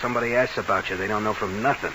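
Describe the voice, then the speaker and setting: A man's voice: lowish voice, fairly even intonation, rapid-fire, natural voice. An actor playing a nervy, scheming nightclub boss in a 1940s radio crime drama, with slangy tough-guy talk. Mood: conspiratorial. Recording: hissy old radio recording.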